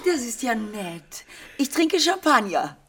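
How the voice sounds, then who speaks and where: An actress playing a genteel variety artiste in a satirical radio play, chatting in an affected yet matter-of-fact way. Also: female voice, on the high side, very animated, slow pace, a bit bright, slight background noise, heavily acted. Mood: chatty, pleased.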